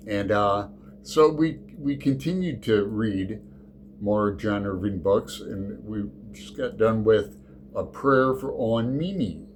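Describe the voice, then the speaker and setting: A man hosting his book channel in a casual, rambling chat, halting and restarting his sentences often. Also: lowish voice, slight background noise.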